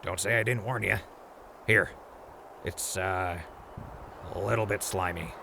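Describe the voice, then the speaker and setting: A man's voice: sits low, fairly even intonation, unhurried, gravelly, heavy background noise. A man playing a wry, easygoing ghoul wanderer in a post-apocalyptic audio drama, talking casually and jokingly.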